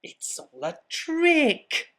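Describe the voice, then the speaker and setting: Reader putting on a brave little monster girl, nosy, high and bouncy, kids storybook. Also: big pitch swings, drawn-out words, a bit bright, exaggerated voice. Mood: doubtful, then greedy.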